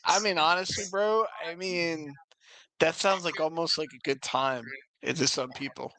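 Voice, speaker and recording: A man's voice: on the high side, a little slow, bright, forceful tone, no echo, rough recording. A man chatting casually with slangy, incredulous reactions to what he hears. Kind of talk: casual chat.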